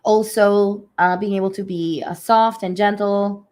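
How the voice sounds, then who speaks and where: A woman speaking softly and slowly, her voice a little hoarse and raspy. She gives a spiritual reading over a livestream, pausing often with gentle fillers. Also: medium pitch, steady tone. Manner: soft, unhurried, many fillers. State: slightly hoarse from allergies. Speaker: energy healer giving readings. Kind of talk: live-streamed spiritual reading. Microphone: phone or webcam on a livestream.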